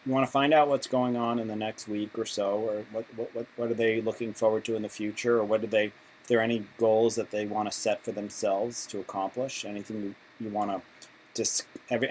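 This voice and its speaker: A man with a fairly low voice gives an informal lesson as an instructor. He feels his way through sentences conversationally, often restarting them. There is noticeable noise on the amateur recording. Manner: halting, conversational phrasing with repeated restarts. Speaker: instructor for patient volunteers. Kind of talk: informal instructional explanation with role-played examples. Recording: clearly noisy amateur recording.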